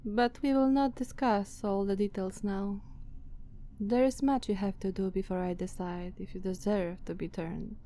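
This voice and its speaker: A woman with a Slavic accent voicing a dominant, haughty female vampire master in a soft, commanding ASMR roleplay. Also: mid-range voice, steady tone, close to an everyday voice. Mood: calm, imperious. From Slavic country. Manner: slow, soft, commanding, condescending.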